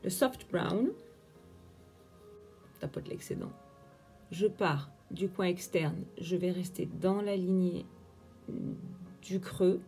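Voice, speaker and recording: A woman's voice: fairly low pitch, takes it slowly, creaky, long pauses, warm tone, faint music behind. A young woman chatting through a makeup tutorial, explaining each step as she works. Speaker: beauty vlogger.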